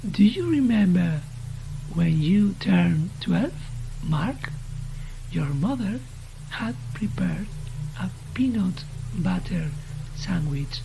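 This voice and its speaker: A woman voicing a sinister radio entity in a horror story, taunting its victim with mock-friendly, unhurried questions. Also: lowish voice, lively intonation, vocal fry, background noise, heavily acted. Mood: menacing calm. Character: sinister taunting radio voice that knows secret fears.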